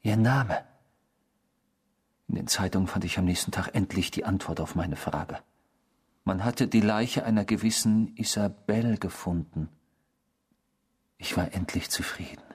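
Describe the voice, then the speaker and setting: An actor in a German radio drama playing a self-satisfied, cynical killer who talks in a mocking, theatrical way. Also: male voice, measured pace, pauses often, breathy edge, exaggerated voice. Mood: chilling confession. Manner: mocking, theatrical, swinging from hushed to shrill.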